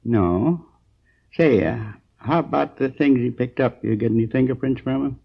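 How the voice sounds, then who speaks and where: A gravelly old American man in his sixties, a hurt and drowsy storekeeper. He rambles in a halting, folksy, earnest way, on a dull 1950s crime drama recording. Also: medium pitch. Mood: resolute. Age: 60s. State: groggy, in pain.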